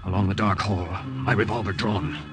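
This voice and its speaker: A man's voice: sits low, steady tone, normal speed, loud music behind the voice, lightly acted. A man acting as a tense, resolute young American secret agent in an old-time radio drama, with a hard-boiled dramatic delivery.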